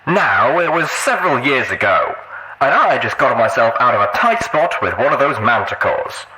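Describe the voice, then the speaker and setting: A man voicing a showy pony radio DJ who proclaims to faithful listeners in drawn-out phrases, in an audiobook. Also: medium pitch, very animated, sharp tone, voice pushed up, poor audio. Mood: storytelling, showy.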